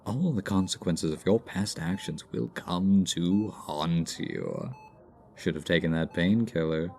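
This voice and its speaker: Smug villain male actor, slow and mellow, theatrical sarcastic teasing for a hospital ASMR drama. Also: low-pitched, very animated, gravelly, slight background noise, exaggerated voice. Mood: sardonic. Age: 20s. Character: smug flirtatious male supervillain recovering in hospital. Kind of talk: ASMR roleplay acting.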